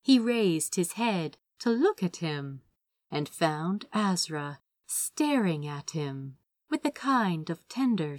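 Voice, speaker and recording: A woman reading a story aloud as expressive scripted narration. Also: on the low side, no room sound, studio quality. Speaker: fan fiction audiobook reader.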